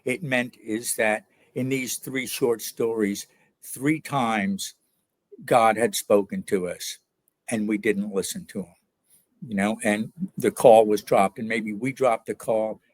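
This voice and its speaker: A low-voiced older man speaks slowly and thoughtfully, pausing often as he searches for words. He is from New York, an author being interviewed, and the sound is dry and close. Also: steady tone, no echo. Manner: reflective, hesitant, many ums and uhs. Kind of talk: interview answers.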